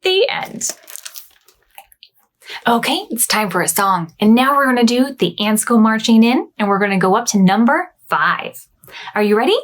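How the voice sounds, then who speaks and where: A woman librarian reading a picture book aloud to preschool children in a slow, sing-song storytime voice. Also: fairly high pitch, very animated.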